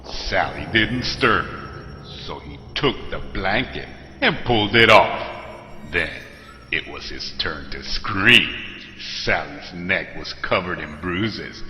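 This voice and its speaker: A man's voice: sits low, lively intonation, takes it slowly, bright, forceful tone. A man narrating a scary story in a dramatic, scripted style. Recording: echoey long reverb. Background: music.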